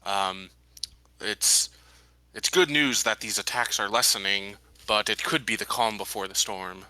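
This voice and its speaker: A man playing a tabletop game, talking conversationally and somewhat haltingly as he plays. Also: fairly low pitch, bright, forceful tone, dry recording, rough recording.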